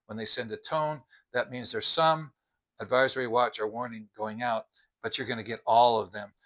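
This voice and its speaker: A mature man talks through a product in a casual review style. His voice is bright and forward, sitting at an average male pitch. He explains things plainly and methodically, point by point. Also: steady tone, moderate pace.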